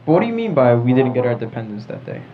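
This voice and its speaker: A man's voice: mid-range voice, big pitch swings, very fast. A teenage American boy hosting a podcast in a casual group discussion. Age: teens. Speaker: podcast host. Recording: muffled lo-fi podcast with clear background noise.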